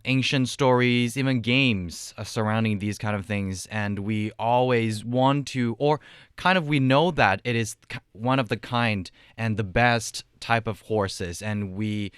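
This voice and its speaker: A man from China talks casually as a podcast panelist, in a lowish and very clear voice. He explains in a slightly hesitant way, recorded dry in a studio. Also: unhurried, dry recording.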